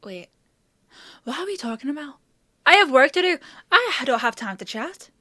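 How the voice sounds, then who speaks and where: A woman's voice: sits high, very animated, moderate pace, pushed and bright, dry recording, clean studio sound, heavily acted. A woman playing a bossy, teasing female apothecary in a fantasy audio roleplay, with a chatty, sardonic, playfully scolding manner. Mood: brisk, bossy.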